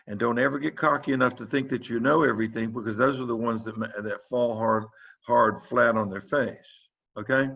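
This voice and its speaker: A man's voice: medium pitch, gravelly, a bit bright. A man, giving an informal lecture to students as a physician, rambling with many fillers and restarts. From South Carolina. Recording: muffled, dull online lecture audio.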